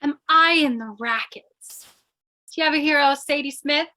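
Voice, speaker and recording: A woman playing a quick-witted, scheming captive in a radio play, theatrical and persuasive. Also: high voice, pushed and bright. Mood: brazen, seductive. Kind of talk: 1940s radio comedy-thriller acting.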